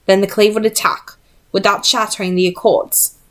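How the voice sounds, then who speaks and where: A woman's voice: medium pitch, little pitch movement, normal speed, a bit bright, lightly acted. A woman voicing a bold, impatient seventeen-year-old male hunter in a storybook, cocky and insistent. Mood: quick, cocky.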